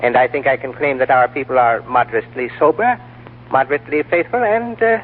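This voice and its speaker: An actor playing a courteous, evasive lamasery official in measured, formal speech, in 1940s radio drama style. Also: male voice, medium pitch, lightly acted. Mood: calm, courteous. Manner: formal, measured, deliberate phrasing. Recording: tinny old radio, humming noise.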